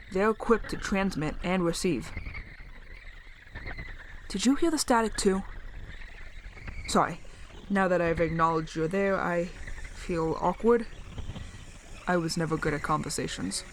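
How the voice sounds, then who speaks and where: An actress playing a lonely, awkward survivor speaking haltingly and earnestly into a radio in an audio drama. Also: female voice, lowish voice. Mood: firmer, insistent. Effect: radio transmission. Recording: noisy radio transmission with static.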